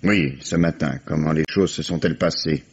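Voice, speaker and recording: A dry, ironic police commissioner with a low, very husky voice. He questions people in a teasing, unhurried manner, in 1950s radio drama style. The sound is a dull old radio recording. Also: heavily acted. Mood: probing.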